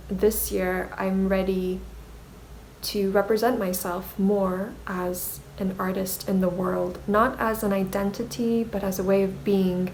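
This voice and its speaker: Slow, even-toned woman vlogger, native Mandarin speaker. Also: medium pitch, creaky, a little hiss or hum, poor audio. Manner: self-conscious, hesitant. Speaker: artist vlogger. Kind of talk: reflective video diary.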